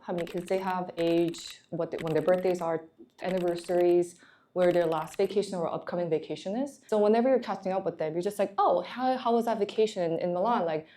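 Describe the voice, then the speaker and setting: A woman's voice: fairly low pitch, dark tone. A young woman from Korea, a management consultant, giving candid, encouraging career advice in a chatty way.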